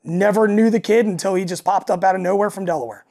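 Raspy man chatting on a podcast. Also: high voice, fast talker, a little mellow. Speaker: guest, alternative country singer and frontman. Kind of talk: casual podcast chat.

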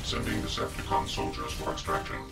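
A man's voice: brisk, over loud music, natural voice. A man voicing a commanding robot soldier in a video game, giving terse military battlefield orders. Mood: cold, focused.

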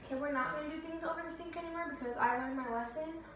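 A woman's voice: high-pitched, rapid-fire, background noise. A young woman chatting casually while baking in her home kitchen, with lots of "like" and "um" and jokes at her own expense. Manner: chatty, lots of "like" and "um", self-mocking. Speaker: vlogger. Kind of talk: casual baking vlog chat. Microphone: camera mic, from across the room at times. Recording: roomy kitchen sound from off the mic.

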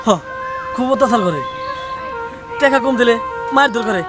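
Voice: mid-range voice, normal speed, close to an everyday voice. An actor playing a downtrodden, complaining beggar in an amateur short film. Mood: aggrieved. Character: downtrodden male beggar listing his abuse. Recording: music behind the dialogue.

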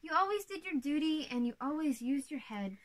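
A woman's voice: high-pitched, animated, a little fast, slight background noise. A young woman playing an exuberant little-sister princess in a children's storybook read-aloud, playful and theatrical. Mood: fond. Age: young adult.

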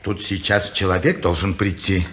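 Middle-aged watchmaker father: gruff and grumbling, roomy old studio sound. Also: sits low, little pitch movement, moderate pace, fairly bright tone. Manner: grumpy, scolding. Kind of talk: 1950s radio drama acting. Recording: echoey old radio studio recording.

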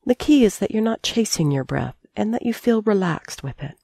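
A middle-aged woman guiding a sleep meditation as a teacher, calm and soothing, speaking in measured phrases with long pauses. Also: medium pitch, vocal fry, husky, no echo, studio quality. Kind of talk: guided sleep meditation narration.

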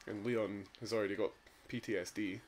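A man's voice: lowish voice, fairly even intonation, a little fast, slight background noise. A young Scottish man giving casual, jokey, sweary commentary as a gaming video host. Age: young adult. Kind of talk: casual joking game commentary.

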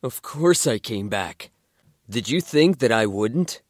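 A man's voice: medium pitch, lively intonation, takes it slowly, no room sound, clean studio sound. A man playing a bookish, devoted young man in love in a fan audio drama. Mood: tender.

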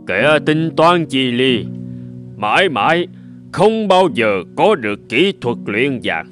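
Lofty, cunning old master. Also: male voice, medium pitch, sing-song. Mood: sly persuasion. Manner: measured, lofty, persuasive. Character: cunning, haughty old gold-making master. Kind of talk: animated folk-tale dubbing. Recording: music bed under the voice.

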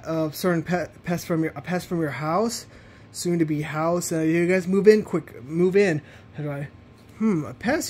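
A young man voicing his plush toys in an improvised cartoon, rambling with lots of restarts, recorded on a handheld phone in a bedroom. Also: sits high. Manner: rambling, halting, many restarts. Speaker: puppeteer voicing all the plush characters.

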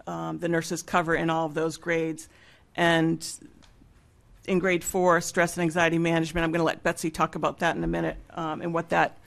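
Creaky-voiced woman giving a meeting report. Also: on the low side. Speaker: school nursing leader presenting a report. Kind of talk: informal spoken report at a public meeting. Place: mid-sized meeting room with seated public. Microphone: gooseneck table microphone.

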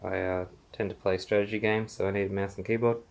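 A man gives a casual, halting walkthrough of his small basement home theater, filming on a handheld phone as he walks. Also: sits low, level tone, vocal fry. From Australia. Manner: unhurried, halting with many fillers. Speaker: home theater owner giving a room tour. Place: small basement room, indoors. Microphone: handheld phone camera, filming while walking.

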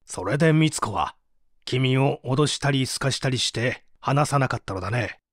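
An actor playing an authoritative, probing prosecutor who questions formally in a dramatic audio mystery. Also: male voice, medium pitch, animated, moderate pace, no room sound, clean studio sound, natural voice. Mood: probing, firm. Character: male prosecutor, probing, authoritative, composed interrogator. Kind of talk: dramatic audio reading of an interrogation.

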